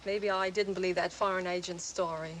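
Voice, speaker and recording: A woman's voice: lowish voice, steady tone, brisk, close to an everyday voice. Guarded actress as a woman suspect, cool and evasive. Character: guarded, evasive female suspect under questioning. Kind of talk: 1940s mystery film acting. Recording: noisy vintage film soundtrack.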